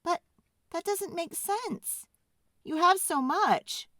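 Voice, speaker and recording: A woman voicing an earnest, excitable young schoolboy with a hesitant, emphatic way of talking in a storybook. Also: very high-pitched, slow, no room sound, studio quality, pitched above the performer's own voice, heavily acted. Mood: protesting.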